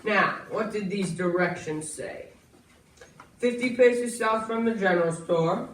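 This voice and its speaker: A man's voice: high-pitched, a little slow. A young man playing a smug, formal gold-seeking schemer in an amateur stage monologue. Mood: scheming. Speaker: amateur stage actor in a school play. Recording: echoey room from far off, faint noise.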